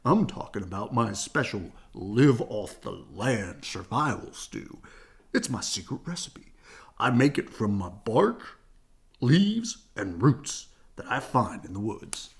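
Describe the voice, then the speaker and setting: A reader voicing an excitable, overconfident father bear from a children's storybook, with grand, enthusiastic delivery. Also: mid-range voice. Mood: confident. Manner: grand, enthusiastic, drawn-out dreamy descriptions.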